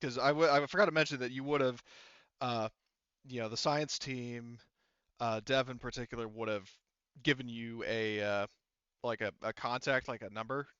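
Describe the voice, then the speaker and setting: A man narrating a tabletop game casually as game master, halting often as he thinks aloud. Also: medium pitch, steady tone, fairly bright tone, clear, resonant tone, no room sound, muffled recording.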